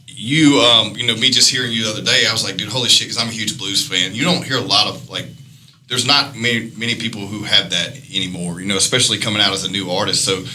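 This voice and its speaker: A man's voice: fairly low pitch, fairly even intonation, fast, bright, forceful tone, low-quality recording. A man hosting a casual interview, laid-back and loose, swearing freely. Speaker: podcast host.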